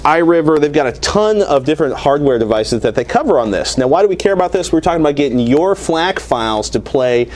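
A man's voice: fairly high pitch, animated, a little fast, few pauses, darkish tone. An American man around forty hosting a tech show, casually demonstrating a product in a rambling, conversational way. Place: small TV studio at a desk. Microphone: lapel mic.

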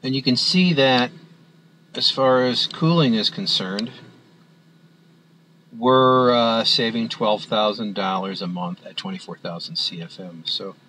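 A man walking through a spreadsheet on screen as an instructor, talking in a halting way with many fillers and restarts. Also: fairly low pitch, sharp tone, a little hiss or hum, low-quality recording. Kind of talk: screen-recorded software walkthrough.

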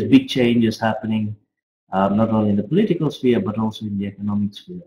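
A middle-aged man gives an informal academic presentation as a finance professor, speaking haltingly with frequent pauses. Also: on the low side, a little mellow. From Australia. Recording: roomy, echoey webcam audio.

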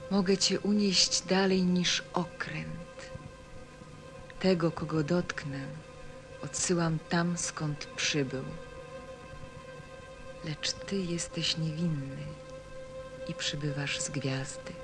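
Woman doing a mysterious powerful snake, slow riddling talk, music behind, radio play. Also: deep voice, steady tone. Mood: ominous, pitying. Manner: enigmatic, speaking in riddles. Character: cryptic, powerful female desert snake, pitying.

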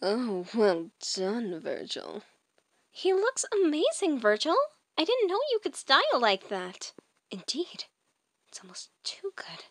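A mischievous cartoon man acted by a woman speaks in a high, dramatic voice. The delivery is theatrical and exaggerated, as in an amateur comic dub, with a dry sound. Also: wildly swooping pitch, slow, fairly bright tone, no room sound, heavily acted. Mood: impressed, conflicted.

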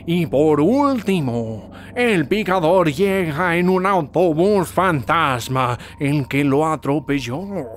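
Cartoon squid, sardonic, spooky storyteller, fairly high voice, slow dragging delivery. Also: male voice, expressive, a little hiss or hum. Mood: spooky, teasing. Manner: drawn-out, ominous storytelling. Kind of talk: cartoon dub.

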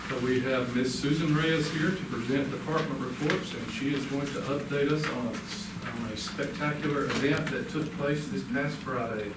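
A man's voice: medium pitch, normal speed, few pauses. A man formally reading out award winners at a school board meeting. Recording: echoey room from far off, noisy.

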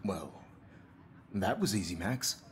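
A man's voice: deep voice, animated, takes it slowly. A man playing a self-assured photography teacher in a video game, lecturing articulately with faint condescension. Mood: pointed, patronising. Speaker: game character.

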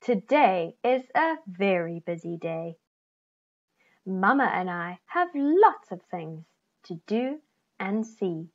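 A middle-aged woman reading a children's picture book aloud, expressively, with deliberate phrasing and stressed key words. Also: mid-range voice, sing-song. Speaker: children's story reader. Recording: slightly dull, lo-fi computer recording.

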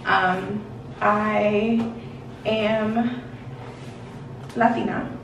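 A woman's voice: mid-range voice, level tone, drawn-out words. Chatty young woman, echoey bathroom. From Washington, D.C. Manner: chatty, rambling, many fillers and "like". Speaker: beauty vlogger. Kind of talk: casual get-ready-with-me chat. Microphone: camera a few feet away. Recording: roomy bathroom echo, mic at a distance, noisy.

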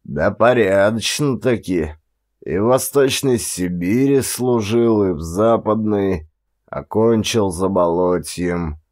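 A man's voice: deep voice, darkish tone. A man voicing a grey old Siberian former police chief, boastful and nostalgic, rambling in an old-fashioned deferential way for an audiobook. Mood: proud, unhurried. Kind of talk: audiobook character dialogue.

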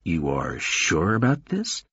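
Audiobook role: courteous male emissary, read by a man, lowered rough-edged voice, restrained, apologetic, dry sound. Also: huge pitch swings, slow pace, no echo, dull audio, heavily acted. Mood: polite. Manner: formal, gentle, restrained. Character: calm, courteous, regretful male emissary, formal and measured. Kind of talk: audiobook character dialogue.

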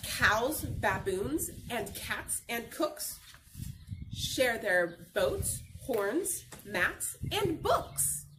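A woman's voice: on the high side, sing-song, drawn-out words. A young adult woman reading a picture book aloud to children as a teacher, expressively, asking questions and answering them. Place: outdoor patio by a garden. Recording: noisy outdoor camera recording.

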